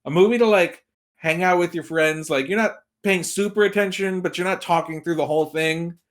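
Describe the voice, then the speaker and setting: A man's voice: high-pitched, fairly quick. A man talking casually as a podcast guest, giving film opinions and acting out imagined reactions as he tells it. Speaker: podcast guest, movie critic.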